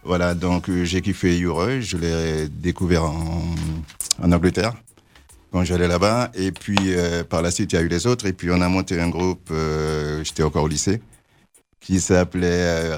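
Deep male guest from french guiana, casual slangy talk. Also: fairly even intonation, normal speed, darkish tone. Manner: casual, slangy, slightly hesitant. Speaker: reggae musician guest. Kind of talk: radio interview.

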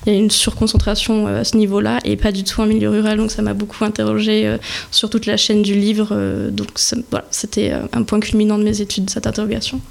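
A woman in her twenties, from French Guiana, answering questions on a local radio show in a measured way with many hesitations. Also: medium pitch, vocal fry, darkish tone. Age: 20s. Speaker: guest bookseller. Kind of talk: radio interview. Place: small local radio studio.

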